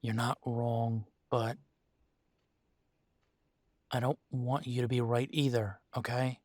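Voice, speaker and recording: Man voicing male werewolf, mid-pitched, close dry sound, weary, conflicted, halting exasperated delivery, intimate roleplay audio drama. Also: level tone, a little mellow, no echo. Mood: troubled, reluctant. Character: weary, conflicted male werewolf arguing tenderly with his mate.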